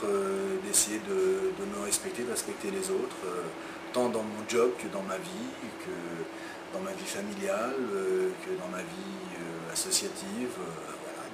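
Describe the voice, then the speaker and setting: A man in his fifties from France, a publisher answering interview questions in his office, hesitant with many fillers and restarts. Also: fairly low pitch. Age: 50s. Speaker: book publisher. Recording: roomy, far from the mic, loud ambient noise.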